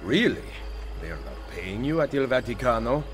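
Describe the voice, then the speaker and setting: An actor playing a determined, commanding Renaissance Italian assassin leader in a video game, with an Italian accent and resolute delivery. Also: male voice, mid-range voice, very animated, moderate pace, long reverb tail, close to an everyday voice. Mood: earnest. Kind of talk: dramatic video game cutscene acting.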